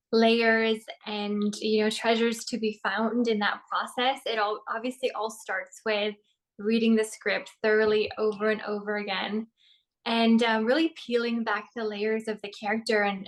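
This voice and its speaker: Woman, young, from Norway, actress, measured, slightly high voice, flat intonation. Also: low-quality recording. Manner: thoughtful and measured, with frequent ums. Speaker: actress, interview guest. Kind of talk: casual interview answers.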